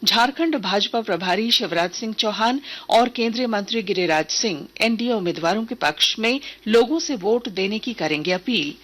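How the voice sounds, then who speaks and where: A woman reads a radio news bulletin from a script. Her voice sits at a middle pitch with a husky edge, and her delivery is formal and measured. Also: lively intonation, fairly bright tone. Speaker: news reader.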